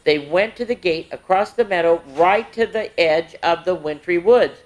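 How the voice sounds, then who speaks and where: Expressive woman reading a children's story. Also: on the low side, normal speed, low-quality recording. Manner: expressive, with asides to young listeners. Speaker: children's story time host. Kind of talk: animated read-aloud storytelling for young children.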